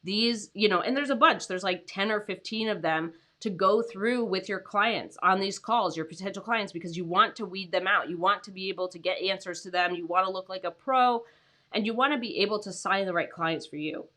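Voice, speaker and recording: Tired, raspy-voiced woman host. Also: mid-range voice, a little fast, pushed and bright. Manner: chatty, lots of "like" and "um", laughs often. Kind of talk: casual livestream chat.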